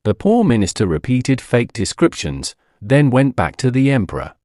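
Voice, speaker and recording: A man narrating a scripted story for English learners in a deliberate, clearly phrased, expressive storytelling manner. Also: mid-range voice, dry recording, studio quality. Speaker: audiobook narrator.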